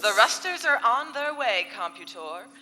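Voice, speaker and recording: A woman's voice: on the high side, big pitch swings, normal speed, over a noisy crowd. A woman playing a scheming, haughty space villainess in a live comedic radio serial, theatrical and biting. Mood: grandiose, urgent. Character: scheming female space villainess, haughty, exasperated arch-nemesis. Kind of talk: live comedic sci-fi radio play in 1940s serial style. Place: convention hall stage before live audience. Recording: reverberant live hall, faint music.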